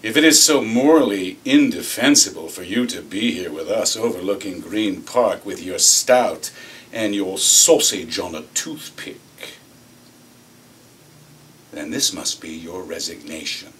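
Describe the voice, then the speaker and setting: An actor playing a cynical, swaggering corporate executive, telling his story in a theatrical monologue with deliberate pauses. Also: sits low, lively intonation, fairly bright tone. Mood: quietly menacing. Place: acting workshop room. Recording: distant mic with faint room noise.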